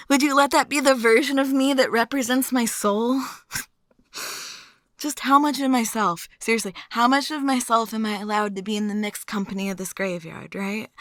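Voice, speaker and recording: A woman's voice: mid-range voice, a bit bright, no room sound, natural voice. A woman acting a chatty, philosophically morbid date in an intimate ASMR roleplay, musing and teasing as she rambles to the listener.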